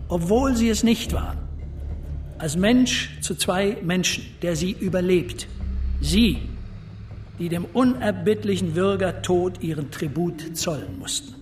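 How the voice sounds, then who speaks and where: An actor playing a man who solemnly eulogizes slain opponents in a radio drama, with measured, pointed delivery and deliberate pauses. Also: sits high, lively intonation, darkish tone, recorded from a distance, slight background noise. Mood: grave, reproachful.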